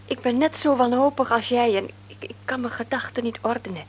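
A woman's voice: on the high side, brisk, slightly rough. An actress playing a middle-aged devout mother in a radio play, pleading and often dropping to a whisper. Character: devout mother, gentle but firm in faith, middle-aged woman. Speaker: mother and wife.

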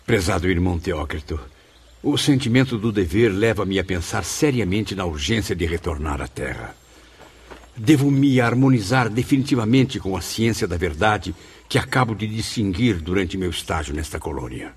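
Sad humble spirit full of remorse, brazilian radio play, lowish voice, very formal and sincere. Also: male voice, expressive, moderate pace, breathy edge, full character voice. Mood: earnest resolve. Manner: formal, earnest, plaintive. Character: remorseful, earnest male spirit, humble penitent disciple. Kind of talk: Brazilian religious radio drama acting. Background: music.

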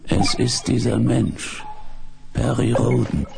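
Ein Schauspieler spricht in einem Science-Fiction-Hörspiel einen körperlosen, feierlich grübelnden Geist aus fremdem Plasma, langsam und bedächtig. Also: male voice, mid-range voice, animated, vocal fry, background noise, full character voice. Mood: solemn triumph. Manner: slow, deliberate, brooding delivery. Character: slow, solemn disembodied male mind merged into alien plasma. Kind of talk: 1970s German science fiction radio drama acting.

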